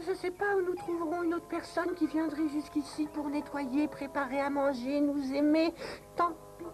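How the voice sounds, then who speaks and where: A woman's voice: high voice, steady tone, moderate pace, music in the background, lightly acted. A woman voicing a small, whiny, lovelorn male cartoon character, plaintive and grudging. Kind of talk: French cartoon dub.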